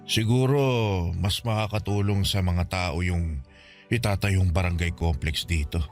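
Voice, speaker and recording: Radio drama casting: low-voiced ailing father, poor and weary, lovingly reassuring his child. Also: measured pace, soft background music. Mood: earnest advising. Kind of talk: Tagalog radio drama acting.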